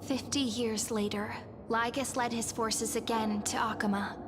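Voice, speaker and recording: A woman playing an earnest, devoted young holy maiden in a video game, gentle and grave. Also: on the high side, level tone, measured pace, background noise, natural voice. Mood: solemn narration. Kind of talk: scripted video game acting and narration.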